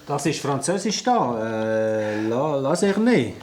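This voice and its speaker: A woman's voice: very deep voice, big pitch swings, takes it slowly, background noise, exaggerated voice. A woman playing a practical, self-satisfied sleuth sidekick in a Swiss German radio play. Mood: focused, pleased. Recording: roomy, music underneath.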